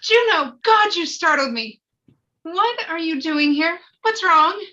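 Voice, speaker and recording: Earnest, anxious would-be mother, played by a woman, high bright voice, table-read drama, slightly dull audio. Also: animated, takes it slowly, full character voice. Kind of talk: live script table read.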